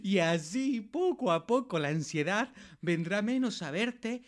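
Man acting a slow, soothing otherworldly counsellor. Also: high-pitched, animated. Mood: calm, reassuring. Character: reassuring visitor from another planet giving therapy advice. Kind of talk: amateur radio play acting.

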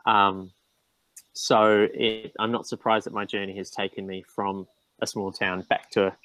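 A man's voice: fairly low pitch, flat delivery, unhurried, a bit bright, dry recording, rough recording. A man, a guest in a casual interview, talking with frequent ums and restarts. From New Zealand. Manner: hesitant, restarts with many ums.

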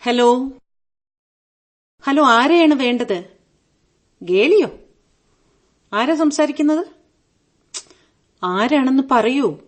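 High-voiced, haughty young wife. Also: female voice, expressive, extremely slow, long pauses, full character voice. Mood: coy, teasing. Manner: coquettish, haughty, drops in English phrases. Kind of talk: Malayalam radio play acting.

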